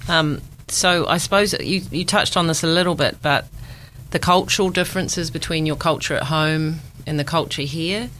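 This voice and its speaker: A man leading a casual interview, asking questions in an easygoing way. His voice is somewhat high, with a faint hiss behind it. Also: normal speed, sharp tone, low-quality recording.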